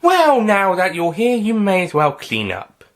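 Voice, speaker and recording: A man's voice: high voice, huge pitch swings, pitched above the performer's own voice, exaggerated voice. A man voicing a cheerful, sarcastic alien time traveller in a read-aloud story. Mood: wry. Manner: brisk, playful and sarcastic.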